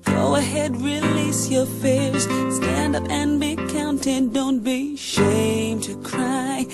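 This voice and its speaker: A woman's voice: high-pitched, steady tone, takes it slowly, over loud music. A woman singing an uplifting pop song. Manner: sung, soaring.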